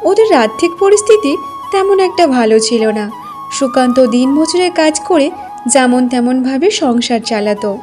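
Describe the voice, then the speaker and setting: A woman's voice: high voice, animated, normal speed, music in the background. A woman narrating a scripted children's folk tale as a storyteller.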